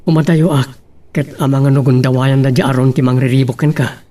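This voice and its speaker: A man's voice: mid-range voice, steady tone, a little fast, warm tone, distant mic, natural voice. A man with an Ilocano accent playing an earnest young suitor in a radio drama.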